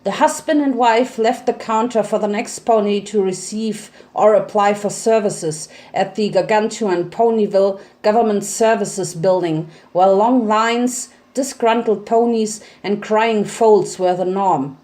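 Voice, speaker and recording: A woman's voice: mid-range voice, a little slow, hardly any pauses, darkish tone. A woman reading fan fiction aloud as a dramatic storyteller. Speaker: narrator.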